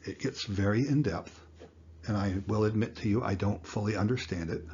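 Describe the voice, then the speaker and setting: A man in his sixties giving an educational lecture in plain words, with a deliberate, folksy manner. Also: fairly low pitch, darkish tone, highs cut off, a little hiss or hum. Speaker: instructor.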